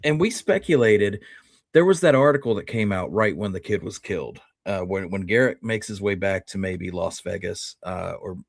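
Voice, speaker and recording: A man's voice: low voice, animated, normal speed, creaky, dry recording, studio quality. A man hosting a history show, talking casually and often restarting his phrases as he makes a point.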